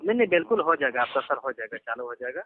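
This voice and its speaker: Male phone agent, fast and persistent, formal and soothing patter, dry narrow phone-line audio. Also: medium pitch, very animated, dry recording. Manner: insistent, repetitive, reassuring, addressing the listener formally. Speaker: phone caller posing as bank support. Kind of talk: persuasive phone call. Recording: lo-fi telephone line recording. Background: phone call audio.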